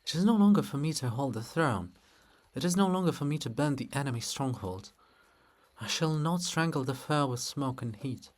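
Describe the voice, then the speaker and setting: A man's voice: medium pitch, expressive, normal speed, lightly acted. A man voicing a proud, brooding ancient princess statue in a dramatic play, declaiming in a lyrical, weighty way. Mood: solemn, mournful. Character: Princess Olha monument, ancient woman, solemn, brooding, proud.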